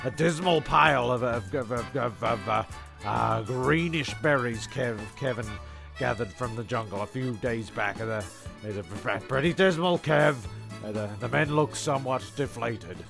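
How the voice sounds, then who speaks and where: A man's voice: medium pitch, moderate pace, gravelly, over music. A man narrating a comic story in a rambling, stumbling way.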